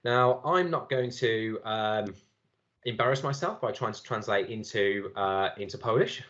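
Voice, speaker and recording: A man giving a relaxed, chatty software demo at a conference, with many fillers and restarts. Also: fairly low pitch, measured pace, muffled recording. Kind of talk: live screen-share demo talk.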